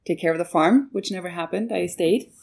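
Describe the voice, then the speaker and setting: Low, gravelly woman guest with many fillers. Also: fairly quick, darkish tone. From Canada. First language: German. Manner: hesitant, with many fillers and restarts. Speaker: guest, manager of a marketing project. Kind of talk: interview answers.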